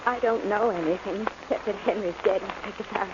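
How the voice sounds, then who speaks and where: An actress playing an affectionate, teasing American wife who worries, in a 1940s radio drama. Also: female voice, medium pitch, brisk, close to an everyday voice. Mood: worried. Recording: noisy, muffled 1940s radio recording.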